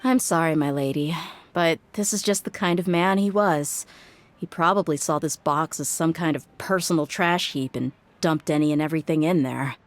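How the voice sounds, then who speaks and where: A woman playing a low-voiced, blunt mercenary. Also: normal speed, lightly acted. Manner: blunt, wry and exasperated. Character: blunt, tough woman mercenary, grown adult. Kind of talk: game cutscene voice acting.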